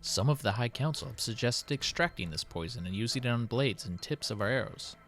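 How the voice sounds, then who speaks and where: A man voicing a worried, dutiful city president who dictates his diary in a formal, measured, solemn way. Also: medium pitch, lively intonation, a bit bright, soft background music, voice pushed up. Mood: troubled, resolute. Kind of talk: dramatic in-character diary reading.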